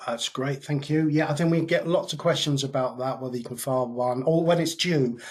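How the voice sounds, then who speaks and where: A man's voice: mid-range voice, fairly even intonation, brisk, darkish tone. A man with a British accent presenting an informational webinar in a conversational way, with frequent ums and uhs.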